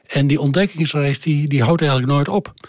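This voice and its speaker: A man with a Dutch accent presenting a music podcast, informal and hesitant, with many pauses. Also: on the high side, a little mellow, no room sound, clean studio sound. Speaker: podcast host. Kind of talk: conversational music podcast narration.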